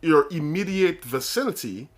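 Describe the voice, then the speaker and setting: A man's voice: on the high side, animated, normal speed. A man from Guyana, raised in Saint Lucia, co-hosting a casual podcast, explaining his views earnestly with many restarts and "okay?" checks. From born in Guyana, raised in Saint Lucia. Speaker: podcast co-host.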